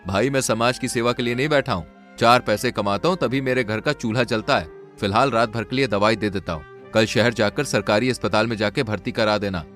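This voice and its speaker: A man's voice: on the low side, fairly quick, hardly any pauses, a bit bright, natural voice. A man voicing a curt, dismissive, self-interested village man in a story over music. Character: harsh, self-interested village moneylender and doctor types.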